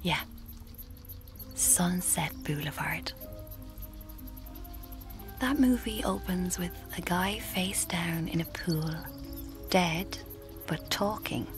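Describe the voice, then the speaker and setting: Slow, breathy young Irish dreamer. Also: female voice, fairly low pitch, over music. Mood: dreamy, self-satisfied. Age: young adult. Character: dreamy, self-deluded young Irish woman, aspiring writer. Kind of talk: intimate first-person radio drama narration.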